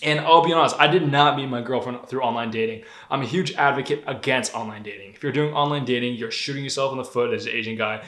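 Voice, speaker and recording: A man's voice: mid-range voice, fast, hardly any pauses. Candid, profane young man in echoey room. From Denver, Colorado. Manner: candid, profane, animated storytelling. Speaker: vlogger. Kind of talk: casual monologue.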